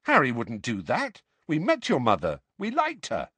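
A man's voice: mid-range voice, wildly swooping pitch, normal speed, fairly bright tone, breathy edge, no room sound, voice pushed up, heavily acted. A man voicing a loyal teenage schoolboy, blunt and bossily assertive, in an audiobook. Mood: puzzled. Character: loyal, blunt teenage schoolboy, bossy and aggressive when roused. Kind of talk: audiobook character voice.

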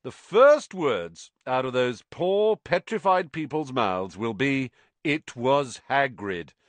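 A man's voice: mid-range voice, expressive, takes it slowly, no room sound, pitched above the performer's own voice. A vain, pompous teacher, as a male narrator puts him on, declaiming with sweeping rises and falls. He sounds smug and self-assured. The sound is dry and close.

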